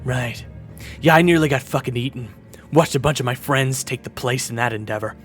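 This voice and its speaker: A man's voice: medium pitch, brisk, soft background music, lightly acted. An actor playing a bitter, furious young man who swears and mocks his brother in an audio roleplay. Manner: shouting, swearing, sarcastic.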